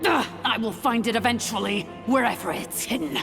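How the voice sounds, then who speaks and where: A woman's voice: a little high, moderate pace, vocal fry, long reverb tail, music in the background. A woman voicing an imperious, vengeful ancient machine sovereign in a video game, declaiming in a grand, commanding, theatrical way. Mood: gloating, vengeful. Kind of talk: video game villain acting.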